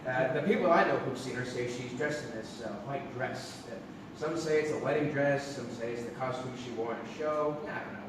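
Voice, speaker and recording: A man's voice: medium pitch, a little fast, lightly acted. A man playing a local actor being interviewed, hesitant and casual as he rambles through a ghostly tale. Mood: uneasy, joking. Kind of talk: casual radio-interview acting. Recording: echoey, noisy theatre recording from far off.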